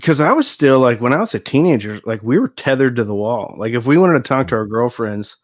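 A man's voice: mid-range voice, expressive, fairly quick, no echo. A man in his forties talks in a loose, joking way, poking fun at himself as he spins stories. The recording is close and dry, with no room sound. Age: 40s. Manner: jokey, self-deprecating storyteller. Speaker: youtube channel host, guest. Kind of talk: casual chat.

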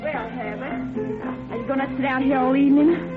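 An actress playing a restless, coy country wife in an old radio drama. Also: female voice, high-pitched, moderate pace, close to an everyday voice. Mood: nagging. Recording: muffled old radio, music behind.

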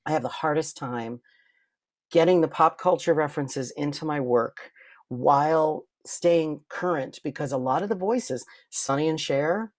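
A man's voice: fairly high pitch, a little slow, dry recording, studio quality. A man speaking as a podcast guest, explaining his craft in casual conversation and often interrupting himself with a new thought. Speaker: guest, voice impressionist and performer.

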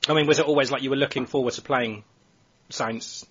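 A man hosts a podcast in a middle-pitched, husky voice. He asks loose, rambling questions with plenty of fillers. The audio sounds dull and lo-fi. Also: steady tone, fairly quick. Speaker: podcast host. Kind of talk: casual podcast interview.

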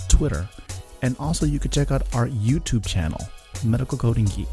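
A man's voice: on the low side, dark tone. Male podcast host over music. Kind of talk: podcast intro and interview chat.

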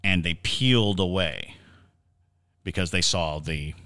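A man with a deep, low voice talks as a podcast co-host. He explains ideas in a musing, conversational way, halting and repeating words as he works through a thought. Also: pushed and bright. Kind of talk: conversational paranormal Q&A discussion.

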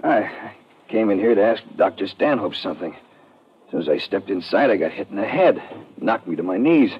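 A low-pitched schemer played by a man in an old radio adventure, crafty and sardonic, speaking in clipped tough-guy lines. The recording is muffled and a little noisy. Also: expressive, moderate pace, close to an everyday voice. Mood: dazed, feigned hurt. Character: crafty, double-crossing male villain, coolly menacing. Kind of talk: 1950s radio drama acting.

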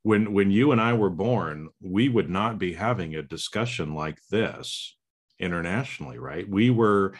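Deep-voiced rambling male host. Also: expressive, unhurried, breathy edge. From United States. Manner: rambling, many restarts and tag questions. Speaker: podcast host. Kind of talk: casual interview chat.

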